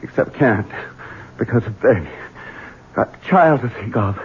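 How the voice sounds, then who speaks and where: An actor playing an exasperated, hot-tempered gentleman husband in a radio drama, with a gruff, blustering manner. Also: medium pitch, animated, unhurried, noisy background. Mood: drunk, bitter. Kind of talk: 1970s radio drama acting. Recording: muffled, phone-like old radio broadcast.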